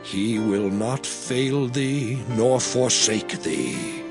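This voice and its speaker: An actor playing an aged prophet and leader, solemn and exhorting, in a grave dramatized scripture reading. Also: male voice, mid-range voice, animated, creaky, heavily acted. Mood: solemn encouragement. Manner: grave, deliberate, declamatory. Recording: background music under the voice.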